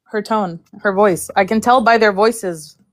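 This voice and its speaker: A woman's voice: mid-range voice, measured pace. A woman guest on a casual livestream chat, frank and rambling, often trailing off mid-thought.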